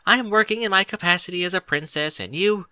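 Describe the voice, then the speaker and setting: A man's voice: high voice, pushed and bright, clear, resonant tone, no room sound, pitched above the performer's own voice. A man voicing an earnest young pony princess in a storybook, tender and reasoning. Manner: reasoning, deliberate.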